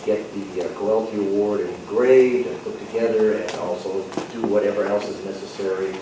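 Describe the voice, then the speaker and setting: A man with a fairly low voice makes a procedural announcement to a club meeting. He pauses and hesitates as he goes. The recording is heavily echoey, distant from the microphone and clearly noisy. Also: steady tone. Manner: halting, procedural, drawn-out hesitations. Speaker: club officer running the election process. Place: indoor club meeting room. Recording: echoey noisy meeting room, far mic.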